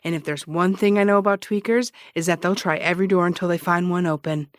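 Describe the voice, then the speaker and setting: An actress playing a brash, dogged ex-cop turned private detective in an audio drama, with a wry, insistent, teasing way of talking. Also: female voice, fairly low pitch, steady tone, quick pace, no room sound, studio quality, natural voice. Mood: pressing. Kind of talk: naturalistic audio drama dialogue.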